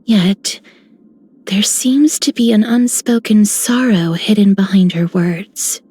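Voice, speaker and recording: A woman's voice: mid-range voice, takes it slowly, darkish tone, slightly rough, dry recording. An actress playing a thoughtful, composed, serene noblewoman in a video game. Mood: pensive. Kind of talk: video game character voice lines.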